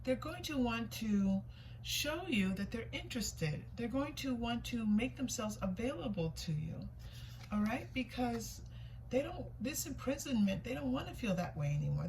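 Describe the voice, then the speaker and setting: A woman's voice: a little high, expressive, normal speed, a little mellow, poor audio. A woman giving a casual, intuitive tarot reading, thinking aloud in an informal way, often repeating herself and questioning what she sees. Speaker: tarot reader hosting a reading. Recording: clear background noise, room ambience.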